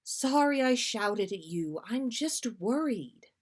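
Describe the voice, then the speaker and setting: A woman's voice: fairly high pitch, lively intonation, takes it slowly, voice pushed up. A woman voicing a harried motherly woman, snappish but kind and worried, in a story reading. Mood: apologetic.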